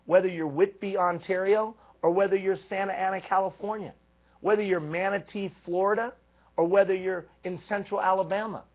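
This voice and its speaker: A man giving a live leadership coaching talk, energetic and emphatic, stacking repeated phrases. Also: high-pitched. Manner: energetic, emphatic, repetitive build-ups. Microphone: live stream microphone. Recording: tinny phone-like live stream.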